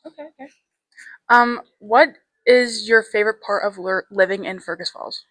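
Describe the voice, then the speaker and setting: A teenage girl working as a student reporter, asking brisk, cheerful interview questions. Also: medium pitch, fairly bright tone, no echo, poor audio. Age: teens.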